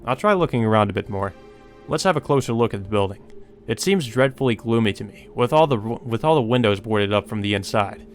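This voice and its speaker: A man's voice: on the low side, lively intonation, a little fast, soft background music. A man narrating a visual novel aloud as a let's play host, with brief asides of his own.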